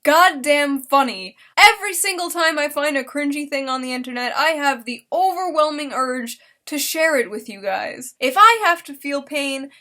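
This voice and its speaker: High, bright, sarcastic woman. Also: moderate pace, hardly any pauses. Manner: mocking, expressive, swears freely. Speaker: online commentary host. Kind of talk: casual, sarcastic reaction commentary.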